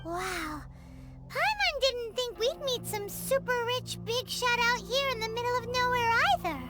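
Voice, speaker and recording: A squeaky little fairy sidekick girl for a video game, pitched extremely high. She is excitable and cutesy, drawing words out slowly with big swings in tone. Her voice has a childlike ring. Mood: surprised, curious. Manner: cutesy, exclamatory, refers to herself in third person. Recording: loud music behind the voice.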